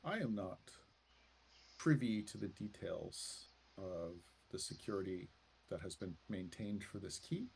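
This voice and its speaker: A man improvising as game master of a tabletop roleplaying game, speaking in a halting, deliberate way with many pauses. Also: low-pitched, lively intonation, vocal fry, a little mellow, slight background noise. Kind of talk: improvised in-character narration.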